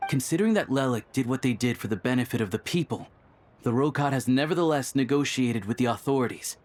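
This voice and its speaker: A man playing a formal, solemn spokesman of a secret order, delivering a measured proclamation in a video game. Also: mid-range voice, a little mellow, natural voice.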